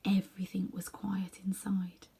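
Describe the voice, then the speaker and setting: A woman narrating a children's story as a reader, with expressive, dramatic delivery. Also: mid-range voice, a little slow, breathy edge.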